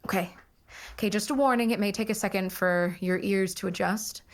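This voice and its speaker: A woman's voice: mid-range voice, fairly even intonation, a little fast, lightly acted. A woman playing an earnest, coaxing dream therapist in a psychological horror audio drama. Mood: instructing. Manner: coaxing, guiding. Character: earnest, reassuring female dream therapist, visionary scientist.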